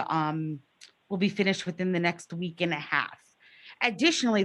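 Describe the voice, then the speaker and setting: A woman school administrator giving a careful, procedural report at a board meeting, with a loud voice. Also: mid-range voice.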